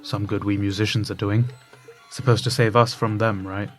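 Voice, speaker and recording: A world-weary man hiding from spies , as an actor plays him, speaks in a lowish voice. He sounds fatalistic and bitter, a failed musician in a dramatic radio play. Music runs behind him. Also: little pitch movement, moderate pace, natural voice. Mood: weary, guarded. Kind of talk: dramatic audio drama dialogue. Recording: music bed under voice.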